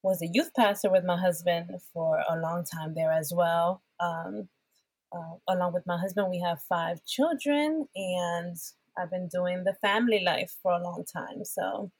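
A middle-aged woman from Puerto Rico, a worship pastor and podcast guest, chatting warmly and laughing often. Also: fairly low pitch, unhurried, slightly rough, no room sound. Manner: warm, laughs often, animated. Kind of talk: casual chat.

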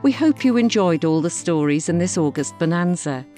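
A woman's voice: lowish voice, expressive, normal speed, mellow, faint music behind. A woman reading scripted podcast announcements. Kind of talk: scripted podcast introduction and sign-off.

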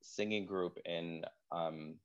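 A man in his forties from Wisconsin, a guest telling stories in casual conversation, with a deep voice and a chatty manner full of "like" and "um". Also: slow, smooth, lo-fi sound. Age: 40s. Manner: animated storyteller, frequent "like" and "um".

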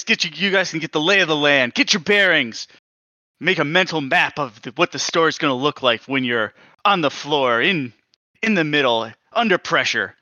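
A man's voice: on the high side, bright, forceful tone, no echo, muffled recording. A man narrating as game master in a breezy, rambling way with fillers.

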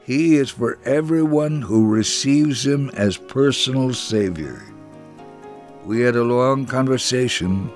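Slow, solemn man reading a story. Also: medium pitch, darkish tone, faint music behind. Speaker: dramatic reader of a first-person testimony. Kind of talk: scripted dramatic reading of a devotional story.

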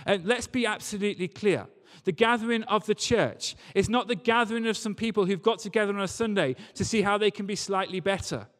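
A man's voice: high voice, a little fast, few pauses, a bit bright. A man preaching a church sermon in an earnest, emphatic way, repeating key phrases. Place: large reverberant church or hall. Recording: long hall reverb.

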